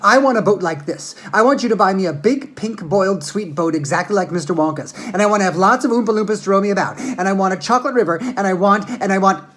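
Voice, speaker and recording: A woman voicing a spoiled, demanding girl with a whiny, quick delivery in a storybook read-aloud. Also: medium pitch, animated, a bit bright, natural voice.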